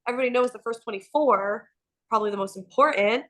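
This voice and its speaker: A woman co-hosting a casual TV aftershow chat, a bit nasal from a cold, animated and gossipy with frequent laughter. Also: on the high side, quick pace. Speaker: co-host.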